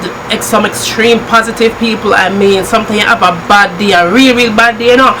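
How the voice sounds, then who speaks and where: A woman's voice: medium pitch, fairly even intonation, a little fast, noisy background. A middle-aged woman from Jamaica with a Jamaican accent, chatting casually with her daughter on her vlog, laughing often and slipping into patois. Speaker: cooking channel host. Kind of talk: casual chat.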